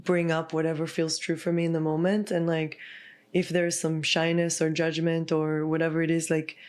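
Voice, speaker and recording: Soft-spoken reflective woman, lowish voice. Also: completely flat delivery, moderate pace. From Israel. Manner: soft, reflective, full of "like" fillers. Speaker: podcast guest, chakra healer. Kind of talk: casual heartfelt conversation.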